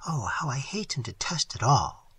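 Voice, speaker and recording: A man voicing an earnest, tearful young woman with a soft, sighing, pleading delivery in a storybook reading. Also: a little high, very animated, unhurried, breathy edge, dry recording, full character voice. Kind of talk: dramatic audiobook character reading.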